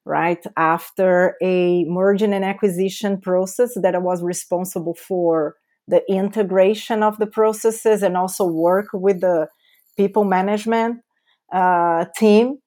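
Brazilian lady on a podcast, slow and halting, lots of uhs, portuguese accent. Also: lowish voice, steady tone, dark tone. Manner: slow, halting, with frequent fillers. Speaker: guest. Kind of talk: podcast interview.

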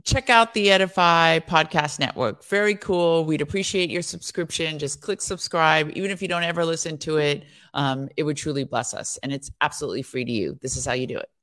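A co-host of a faith podcast live-stream, chatting casually with quick, playful questions, on a desk microphone. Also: medium pitch, fairly bright tone.

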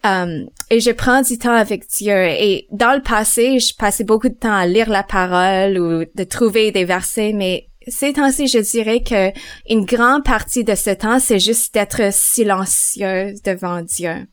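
A woman's voice: medium pitch, a little slow, clear, resonant tone, no echo. A woman, a mother at home, answering interview questions on faith thoughtfully and hesitantly. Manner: hesitant, searching for words, soft laughs.

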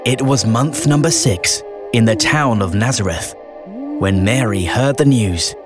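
A man narrating a rhyming children's bedtime story in a measured storytelling delivery with deliberate pauses. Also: medium pitch, lively intonation. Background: festive music and sound effects.

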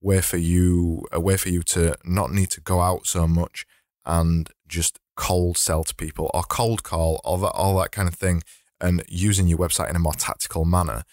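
A man hosting a casual solo podcast, his voice slightly hoarse and fading, rambling and correcting himself as he talks. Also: sits low, level tone, moderate pace, a little mellow, no echo, studio quality. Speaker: podcast host.

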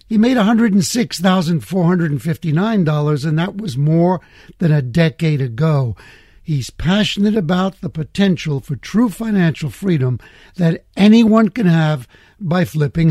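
A middle-aged man hosting a podcast, reading a measured intro and chatting easily, laughing often. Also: fairly high pitch, expressive, dark tone, no echo, studio quality. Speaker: podcast host. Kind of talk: scripted intro then casual interview.

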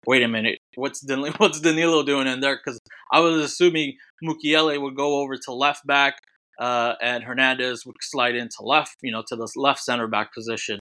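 A man with a bright, forceful voice talks football as a podcast guest. He speaks in a halting way, restarting phrases and leaning on fillers. The sound is close and dry, with no room around it. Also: mid-range voice, steady tone, dry recording. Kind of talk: casual sports podcast chat.

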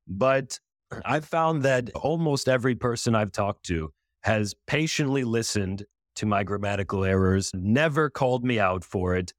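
A man's voice: medium pitch, no room sound, studio quality. A man on a casual panel discussion, speaking earnestly and encouragingly.

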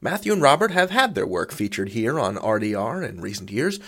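A man's voice: medium pitch, big pitch swings, fairly quick, fairly bright tone. A man hosting an audio drama podcast, delivering a scripted introduction with theatrical, suspenseful phrasing. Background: music.

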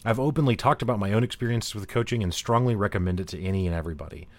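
A man with a fairly low voice hosts an interview podcast. He talks in a conversational presenter style, and the sound is dry, with very little room in it. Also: racing, no echo. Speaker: podcast host.

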